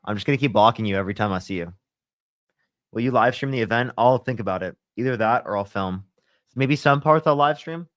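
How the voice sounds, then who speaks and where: A man's voice: medium pitch, brisk, fairly bright tone, dry recording, clean studio sound. Congested young man chatting on a livestream. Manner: laid-back, filler-heavy, lots of "like" and "you know". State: congested, slightly sore throat. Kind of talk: casual livestream chat reading comments.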